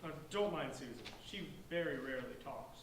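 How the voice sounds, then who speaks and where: A man's voice: mid-range voice, animated, fairly quick, natural voice. A man playing a polite, bookish fifteen-year-old outsider with an earnest, wordy manner in a staged play reading. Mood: earnest, indignant. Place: large echoey indoor room, distant microphone. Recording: echoey room from far off, faint noise.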